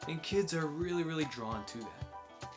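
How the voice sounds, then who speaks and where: A man with an American accent explaining and recommending in a clear, instructive way, using simple wording, as host of a children's learning channel. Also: on the high side, animated, unhurried, over music. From United States. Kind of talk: explanatory book recommendation and picture-book read-aloud.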